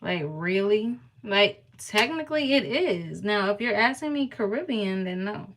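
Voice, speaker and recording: Bright-voiced, playful New woman. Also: medium pitch, animated, a little slow. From New York (Harlem). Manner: playful, teasing, laughs often. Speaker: vlogger chatting with live viewers. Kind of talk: casual live-stream chat.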